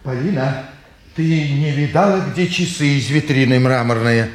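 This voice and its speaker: An actor plays a grumpy middle-aged father with a mid-pitched voice. He scolds and moralises in old Soviet stage manner, recorded in a roomy theatre. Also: unhurried, lightly acted. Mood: grumbling. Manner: grumbling, sententious. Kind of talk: 1950s Soviet stage drama acting.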